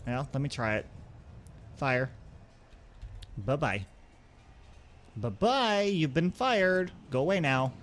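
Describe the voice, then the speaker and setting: A man's voice: fairly high pitch, sing-song, takes it slowly, long pauses, a bit bright, a little hiss or hum. Man thinking aloud in casual game commentary. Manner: thinks aloud, talking through each step. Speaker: gaming video host. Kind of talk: casual let's-play commentary.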